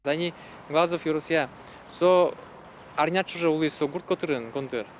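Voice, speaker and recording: A man giving a hesitant interview comment, pausing on fillers. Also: on the high side, a little hiss or hum.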